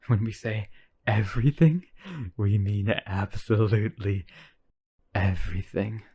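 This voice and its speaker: A man's voice: lowish voice, a little slow, mellow, husky, highs cut off. A man playing an obsessively devoted, centuries-old vampire brother in a boyfriend roleplay, earnest and pleading.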